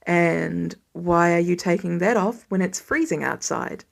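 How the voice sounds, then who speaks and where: A woman voicing a tired, protective middle-aged father with a dry, measured manner, in a story reading. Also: lowish voice, creaky, pitched below the performer's own voice. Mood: wry, stern.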